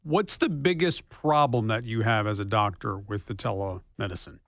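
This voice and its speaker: A man's voice: on the low side, moderate pace, dry recording. Male morning radio interviewer, dry close sound. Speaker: radio show host interviewer. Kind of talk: broadcast interview, conversational.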